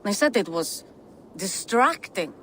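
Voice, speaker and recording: An actress playing a blunt, lusty Viking wife and former raider in a video game, frank and unashamed. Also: female voice, medium pitch, very animated, slow, pushed and bright, a little hiss or hum, full character voice. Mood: frustrated, eager. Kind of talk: video game cutscene acting.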